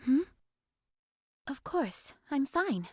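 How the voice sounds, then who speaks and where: A woman's voice: high-pitched, sing-song, drawn-out words, a little mellow, dry recording, studio quality, heavily acted. An actress playing a composed, polite female squad leader in a video game.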